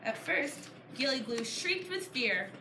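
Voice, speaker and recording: A woman reading a storybook aloud to a class of children, pausing to put questions to them in an encouraging, teacher-like way. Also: sits high, very animated. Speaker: author reading her book to a class. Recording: echoey room, clear background noise. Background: children answering, room noise.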